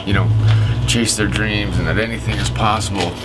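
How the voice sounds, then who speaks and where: A man in his mid-thirties, an amateur filmmaker, talking through a halting, rambling personal video diary full of fillers. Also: lowish voice, steady tone, loud music behind the voice, rough recording. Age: 30s. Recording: loud background noise.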